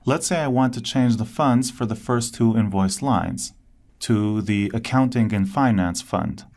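A man narrating a scripted step-by-step software tutorial in a clear instructional manner. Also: on the low side, unhurried.

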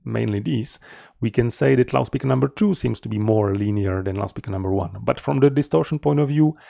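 A middle-aged man giving an explanatory technical presentation as a researcher, in a measured, slightly halting manner, close to the mic. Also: medium pitch, gravelly, dark tone, no room sound. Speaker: researcher presenting a conference paper.

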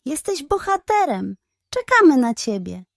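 A woman voicing a friendly animal pal in a children's storybook, coaxing and excited. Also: high voice, a little slow, gravelly, no room sound, clean studio sound, pitched above the performer's own voice, heavily acted.

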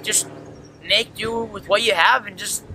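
A young man hosting a casual podcast, loud and rambling as he chats with his friend. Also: sits high, steady tone, a little slow, slight background noise. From California. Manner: loud, rambling, self-described loud voice. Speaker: podcast host. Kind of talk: casual chat.

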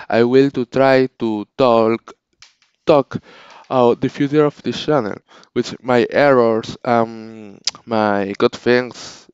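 A man whose first language is Spanish, talking casually to his channel's viewers in halting English, often restarting and searching for words. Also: medium pitch, fairly even intonation, clear, resonant tone, no echo, dull audio. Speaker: channel owner. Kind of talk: casual unscripted vlog talk.

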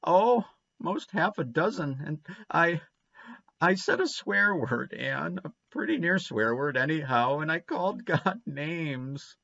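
A man's voice: fairly high pitch, expressive, slow, creaky, bright, forceful tone, no room sound, lo-fi sound, pitched above the performer's own voice, exaggerated voice. A man voicing a guilty, desperate little boy in a storybook, with childish, ungrammatical country speech. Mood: miserable, pleading. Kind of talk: storybook character reading.

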